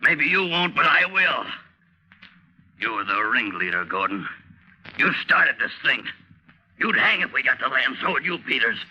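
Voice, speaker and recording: An actor playing a desperate, accusing sailor adrift on a derelict whaler in a 1940s radio drama. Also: male voice, medium pitch, big pitch swings, measured pace, pauses often, bright, forceful tone, slightly rough, full character voice. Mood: grim, menacing. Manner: accusing, argumentative, agitated. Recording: dull lo-fi 1940s radio recording.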